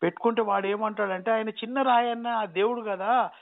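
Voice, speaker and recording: High-pitched male caller, muffled phone line. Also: steady tone, gravelly. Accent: Telugu, Telangana. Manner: halting, repetitive, insistent. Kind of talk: questioning in casual phone conversation.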